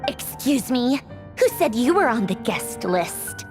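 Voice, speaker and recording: Haughty, sardonic villainess, animated. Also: female voice, on the high side, unhurried, music in the background. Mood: scornful. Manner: sarcastic, dismissive, theatrical. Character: haughty, sardonic young female villain officer, secretly wistful. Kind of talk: video game voice acting.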